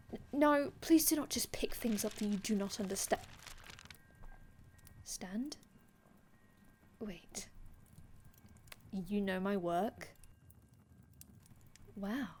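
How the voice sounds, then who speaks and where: A woman's voice: medium pitch, darkish tone, slight background noise, lightly acted. A woman playing a courteous, ancient vampire healer in an ASMR roleplay, speaking formally and reassuringly with archaic phrasing and small stammers. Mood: flustered, polite, then modest, caring. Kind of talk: ASMR roleplay, addressing the listener.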